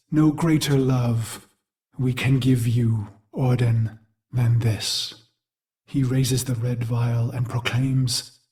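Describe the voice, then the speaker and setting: A grim old-war fighter turned game machine, slow and theatrical, mid-pitched, brooding over his brutal past. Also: darkish tone. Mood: solemn, haunted. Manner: grave, theatrical, deliberate phrasing. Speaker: game character narrator. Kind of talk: dramatic scripted game monologue.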